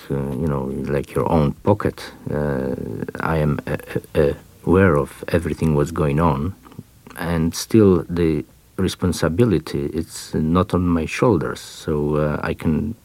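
A man with a Polish accent, answering interview questions in a halting way with many fillers and restarts. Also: a bass voice, darkish tone. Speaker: interview guest.

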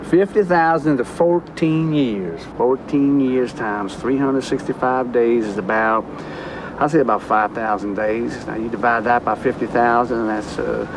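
An actor playing a menacing ex-convict man with an Appalachian Southern accent, nasal and deep-chested, talking slowly and sarcastically to his former lawyer. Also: lightly acted. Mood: sarcastic. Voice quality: nasal vowels, deep-chested, dropped L's, forced R's. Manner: sarcastic, slow, mocking arithmetic. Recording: film soundtrack with outdoor noise.

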